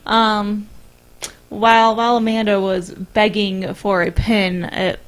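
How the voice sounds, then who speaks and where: A woman's voice: mid-range voice, little pitch movement, takes it slowly. A woman co-hosting a podcast in casual, conversational talk. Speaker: podcast co-host. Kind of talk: casual chat. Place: small room, round table.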